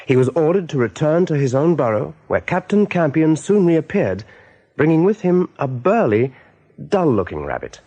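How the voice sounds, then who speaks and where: An actor as the storyteller of a radio play, narrating dramatically in a husky male voice. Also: on the high side, very animated, moderate pace, dark tone, dull audio. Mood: grave storytelling. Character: storyteller narrating an animal adventure.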